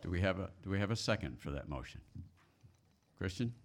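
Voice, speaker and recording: Deep-voiced man moderating a meeting. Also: lively intonation, brisk, a little hiss or hum. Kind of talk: explaining procedure to a group, informal.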